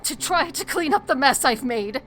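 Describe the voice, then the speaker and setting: A woman's voice: high-pitched, expressive, moderate pace, a bit bright, soft background music. A woman playing a guilt-ridden, defiant young rich heiress in an audio drama, intense and emotional. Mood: angry, hurt.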